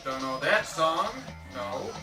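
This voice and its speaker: A man's voice: on the high side, lively intonation, slow, recorded from a distance. A man playing an arrogant, dismissive punk band singer in a motion comic, with a curt, cocky delivery. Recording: echoey, off-mic, music behind. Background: music.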